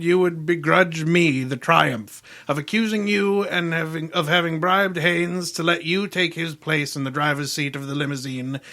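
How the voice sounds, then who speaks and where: A man's voice: a little high, pushed and bright. A man playing a pompous, erudite, gluttonous genius detective in a grandiloquent, theatrical old-time radio style.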